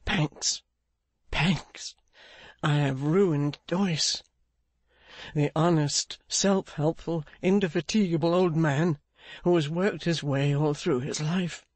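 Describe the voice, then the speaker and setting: Audiobook character, acted by a narrator: gentle gentleman crushed by guilt, slow, weary, repeating himself in sorrow. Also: medium pitch, steady tone, no room sound. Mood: anguished.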